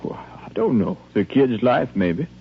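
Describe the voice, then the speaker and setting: A man's voice: mid-range voice, big pitch swings, unhurried, breathy edge, heavily acted. A male actor playing a plainspoken, humble soldier full of doubts, with folksy, hesitant phrasing, in 1950s radio drama style. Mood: puzzled. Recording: narrow, hissy old radio recording with hum.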